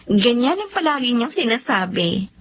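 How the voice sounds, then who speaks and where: A woman's voice: a little high, very animated, moderate pace. A woman playing a caring, teasing young wife in a Tagalog radio drama, with a Filipino accent and a playful, chiding way of talking. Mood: fondly chiding. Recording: dull lo-fi audio, faint noise.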